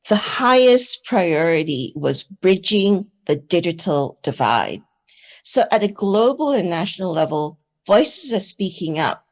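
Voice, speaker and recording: A woman from Singapore speaking on a conference panel in an earnest, persuasive manner. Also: fairly low pitch.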